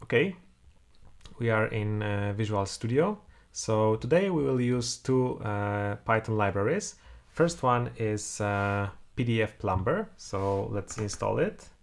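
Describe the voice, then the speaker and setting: Tutor: man, 30s, Polish accent, low gravelly voice, walks through code with frequent "uh"s. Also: lively intonation, a little slow. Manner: thinks aloud while typing, many "uh" fillers. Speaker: programming tutor. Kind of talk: screen-recorded coding tutorial. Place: home room, indoors.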